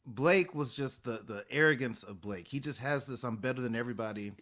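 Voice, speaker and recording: A man co-hosting a casual podcast, giving his opinions in a measured, thoughtful way. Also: mid-range voice, a bit bright. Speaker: podcast co-host. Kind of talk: casual recap chat.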